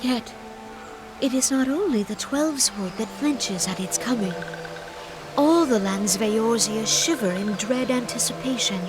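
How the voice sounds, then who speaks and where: A woman's voice: a little high, lightly acted. Woman playing a dignified forest leader over swelling music. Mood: foreboding. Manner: formal, measured, earnest and courtly. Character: gentle, dignified female leader of a forest nation. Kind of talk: scripted video game voice acting. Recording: loud music behind the voice.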